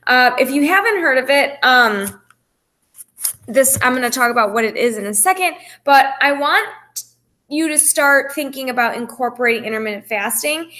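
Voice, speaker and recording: Higher-pitched woman coaching a group. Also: lively intonation, normal speed, pushed and bright. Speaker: fitness and nutrition coach. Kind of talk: informal coaching talk to a group, explanatory. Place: phone call presentation.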